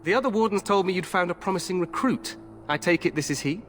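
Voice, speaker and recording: A young, glory-hungry king from a fantasy video game. His voice is a little higher than most men's, and he talks in a grand, confident, friendly royal manner. Music sits quietly behind the lines. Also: fast. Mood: jovial, welcoming. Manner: grand, regal, expressive.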